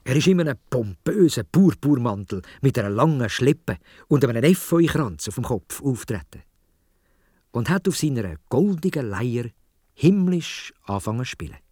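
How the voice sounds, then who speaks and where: A man's voice: mid-range voice, big pitch swings, normal speed, warm tone, husky, no room sound, clean studio sound. A man with a Swiss German accent telling a story for children in a dramatic way, addressing his listeners directly.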